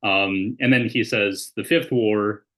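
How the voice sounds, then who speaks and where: A man appearing as a podcast guest, explaining in a measured way and reading quotes aloud. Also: on the low side, fairly even intonation, fairly bright tone. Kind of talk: casual discussion, reading quotes.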